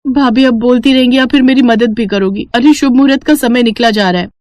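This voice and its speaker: Woman voicing a high, hot-tempered young bride-to-be. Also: a little fast, a little mellow, no room sound, lightly acted. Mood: impatient, brash. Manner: indignant, whining, combative.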